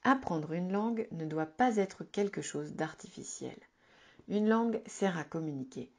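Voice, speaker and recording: A woman narrating a written advice article in a calm, measured, instructive reading. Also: on the low side. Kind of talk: scripted informative narration.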